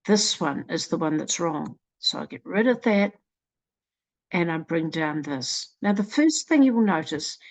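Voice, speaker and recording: A woman with a New Zealand accent presenting a literacy program in a slow, deliberate walkthrough, sounding out letters one at a time. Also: fairly low pitch, expressive, poor audio. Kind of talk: screen-recorded software walkthrough.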